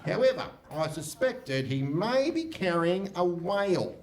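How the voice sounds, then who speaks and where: An actor playing a dim, eager, literal-minded police constable in a comic radio play performed on stage. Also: male voice, high-pitched, sing-song, unhurried, a little mellow, distant mic, heavily acted. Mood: earnest, literal. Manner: bumbling, deferential, literal. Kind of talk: comic live radio theatre. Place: hall stage before live audience.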